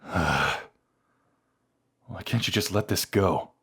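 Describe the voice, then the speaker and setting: A low-voiced, proud knight, acted out by a man, speaks straight to the listener in a fantasy audio drama. He is bratty and haughty, grumbling through his wounds while hiding grief beneath his pride. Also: slow pace, fairly bright tone, husky, exaggerated voice. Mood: irritated, proud. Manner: haughty, grumbling, reluctantly vulnerable. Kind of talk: scripted audio roleplay addressed to the listener.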